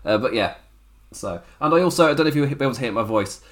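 Raspy, chuckling man reviewing wrestling. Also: medium pitch, lively intonation, fast. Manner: rambling, chuckles often, self-correcting. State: raspy, strained voice. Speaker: wrestling show host. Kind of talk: casual podcast review.

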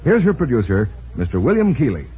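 A man's voice: medium pitch, huge pitch swings, quick pace, breathy edge. A man working as a commercial announcer, reading a scripted 1940s radio advertisement. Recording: narrow, tinny 1940s radio recording.

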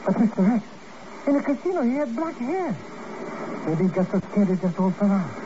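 Excitable, high-pitched comic radio sidekick. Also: male voice, fairly quick. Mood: grumbling. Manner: comic, complaining, talkative. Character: excitable, chatty, nervous male sidekick to a secret agent. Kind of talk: 1950s radio drama acting. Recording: muffled, noisy old radio recording.

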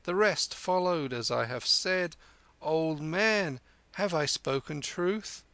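A man's voice: on the high side, takes it slowly, pushed and bright, no room sound, pitched above the performer's own voice, full character voice. A man reading aloud, voicing a clever thirteen-year-old street boy in a raised sing-song manner. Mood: rapt sing-song.

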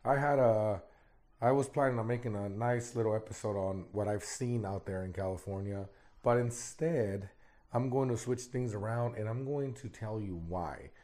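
A man's voice: lowish voice, normal speed, mellow. A man hosting a casual solo podcast, talking in a rambling way with repeated words, his voice still recovering after he lost it. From Arizona, United States. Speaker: podcast host.

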